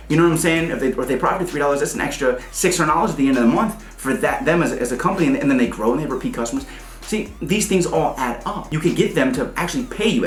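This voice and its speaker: A man giving casual music business advice, fast and animated as he breaks down examples. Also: fairly high pitch, echoey room. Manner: fast, animated, pointing things out. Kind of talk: casual advice talk to the camera.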